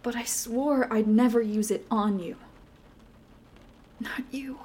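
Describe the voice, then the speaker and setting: A woman's voice: fairly high pitch, steady tone, takes it slowly, warm tone. A woman playing a young girlfriend with a temper in a listener-directed audio roleplay, speaking emotionally and earnestly straight to the listener. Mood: soft apologetic.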